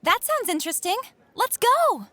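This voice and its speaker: Woman voicing a very high-pitched teenage girl. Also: very animated, normal speed, sharp tone, dry recording, heavily acted. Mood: cheerful. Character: cheerful, curious teenage schoolgirl, friendly. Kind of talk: animated audio drama acting.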